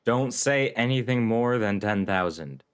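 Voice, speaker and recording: A man streaming a game with casual, wry, deadpan commentary. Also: medium pitch, a little slow, smooth. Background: faint game music.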